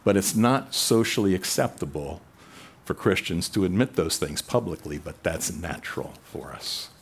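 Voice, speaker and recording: A man preaching a sermon in a conversational way, rising in pitch and volume for emphasis. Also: animated, moderate pace, gravelly, breathy edge. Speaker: pastor.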